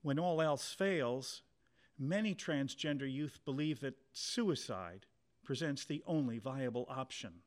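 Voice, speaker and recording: A man giving an earnest, measured reading of a documentary passage. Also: medium pitch, expressive. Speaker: reader. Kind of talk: scripted documentary narration.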